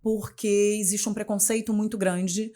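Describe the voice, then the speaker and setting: A woman in her forties with a Carioca accent from Rio de Janeiro, talking as an interview guest. She talks in a chatty, expressive way, restarts her phrases often and keeps adding tag questions. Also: medium pitch, measured pace. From Rio de Janeiro, Brazil. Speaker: guest, journalist and communication mentor. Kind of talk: casual interview conversation.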